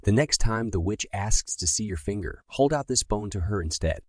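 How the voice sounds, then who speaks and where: A man's voice: on the low side, lively intonation, moderate pace, no echo, clean studio sound. A man voicing a brave, earnest young girl who looks after her brother in a bedtime story. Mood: scheming. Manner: earnest, reassuring, polite. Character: brave, resourceful young girl, caring big sister.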